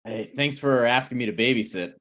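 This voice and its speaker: A man's voice: mid-range voice, fairly quick, a bit bright, natural voice. A man playing a stubborn, snarky broke writer with dry, needling delivery in a comedy table read. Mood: sheepish, dry.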